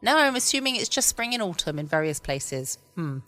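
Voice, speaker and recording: A woman's voice: on the low side, big pitch swings, a little fast, bright, forceful tone, soft background music. A woman, chatting casually and musing as host of a bedtime podcast. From United Kingdom. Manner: rambling, musing to herself. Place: quiet room at home.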